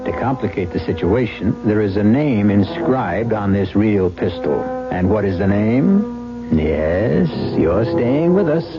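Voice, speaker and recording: Music plays behind a man with a low voice hosting a vintage radio drama. He narrates deliberately and dramatically, drawing out the suspense. The sound is dull and band-limited. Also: lively intonation. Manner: deliberate, measured, teasing suspense.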